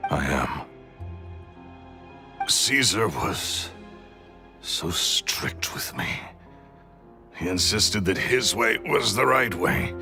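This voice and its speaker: An actor playing a bitter, vain, greedy magician thief in a video game, theatrical and sneering. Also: male voice, low voice, creaky, heavily acted. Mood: smug, defiant.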